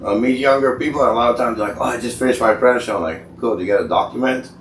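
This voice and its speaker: A man's voice: medium pitch, racing, a bit bright, recorded from a distance. A man in his forties from Israel chatting casually as a podcast guest, rambling and colloquial, swearing freely and acting out bits of dialogue. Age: 40s. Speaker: tattoo artist, podcast guest. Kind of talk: casual podcast chat, telling career stories. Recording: roomy echo, off-mic, faint background noise.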